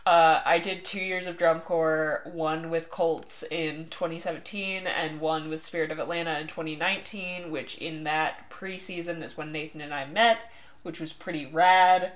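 Young woman, slightly low voice, American accent, playful joking, casual podcast chat. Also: steady tone, normal speed. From Northeast Ohio, United States. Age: 20s. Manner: playful, mock-formal joking. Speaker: podcast co-host.

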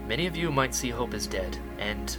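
An actor playing a nervous, earnest young male rebel radio host in a sci-fi radio drama, halting and flustered. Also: medium pitch, expressive, over loud music, close to an everyday voice. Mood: solemn, rallying. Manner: halting and flustered, stammering, turning solemn in speeches.

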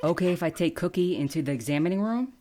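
A kindly, offbeat female vet played by an actress talks casually in a lowish, lively voice. She is frank and natural, as in an audio drama, with faint noise and animals in the background. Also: fast talker, natural voice. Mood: gentle, soothing.

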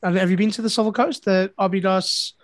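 A man's voice: high voice, steady tone, fast talker, no echo, clean studio sound. A man from South Africa hosting an interview, chatting casually with frequent stammered restarts. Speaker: podcast host.

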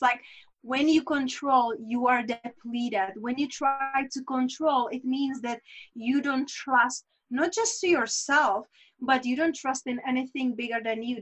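High-voiced woman. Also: a little slow, fairly bright tone, poor audio. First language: Czech. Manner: earnest, reflective, with rhetorical asides. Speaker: guest. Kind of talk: candid personal conversation.

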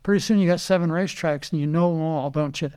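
An older man and retired racing driver, a podcast guest telling animated anecdotes, quoting others and often repeating words. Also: fairly high pitch, fast talker, smooth. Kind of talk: casual storytelling interview.

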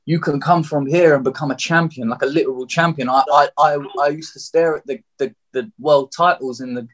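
A man's voice: fairly high pitch, steady tone, brisk, a bit bright. Reflective young man from southeast England. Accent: southeast English. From Margate, England. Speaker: interview guest. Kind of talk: candid personal storytelling.

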